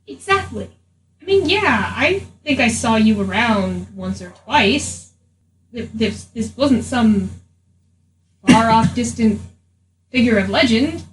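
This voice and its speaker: A wry, teasing female adventurer acted by a woman in a tabletop roleplay. Her voice is fairly high and chatty. It comes from a little way off the mic, in an echoey room with faint noise. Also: lively intonation, takes it slowly, far from the mic. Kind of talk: improvised tabletop roleplay in character.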